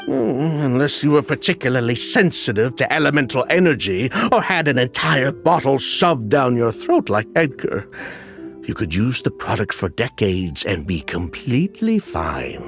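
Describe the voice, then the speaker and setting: A weary, defensive crook of a businessman from a video game. The medium-pitched voice is wry and dramatic, with sighs and very animated intonation. Soft music sits behind it. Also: moderate pace, gravelly. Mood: defensive, dismissive. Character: weary, defensive male criminal businessman confessing.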